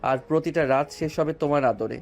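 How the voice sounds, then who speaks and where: A man's voice: a little high, fast talker, vocal fry, a little mellow. A male voice actor playing a romantic young husband who teases his wife tenderly in an audio drama. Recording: music bed underneath.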